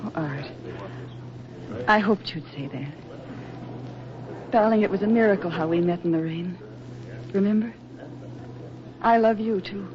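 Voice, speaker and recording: A woman's voice: mid-range voice, measured pace, long pauses, background noise, natural voice. An actress playing a devoted, wistful young working woman in love, heartfelt, in 1940s radio style. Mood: tender. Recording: muffled vintage 1940s radio recording.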